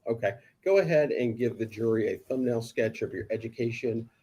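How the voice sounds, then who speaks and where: A man questioning a witness as prosecutor in court, measured and halting with frequent ums. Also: medium pitch, mellow, breathy edge. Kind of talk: courtroom direct examination.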